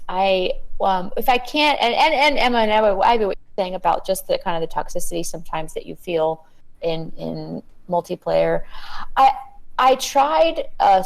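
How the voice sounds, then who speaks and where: A woman in her thirties, a guest on a panel chat, talking earnestly with halting starts and repeated words. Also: mid-range voice, poor audio.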